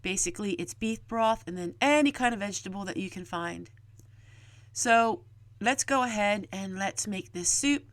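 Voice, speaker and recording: A woman's voice: mid-range voice, animated, moderate pace, dry recording. Plain-spoken middle-aged woman vlogger. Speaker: homesteading vlogger and host. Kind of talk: homemaking vlog narration, explaining chores and cooking.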